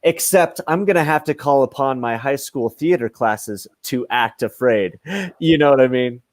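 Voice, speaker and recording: A man's voice: medium pitch, normal speed, dry recording, clean studio sound, close to an everyday voice. A middle-aged man playing a cocky, boastful outdoorsman superhero in a comedy radio play. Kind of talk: radio comedy acting over video call. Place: room at home, video call.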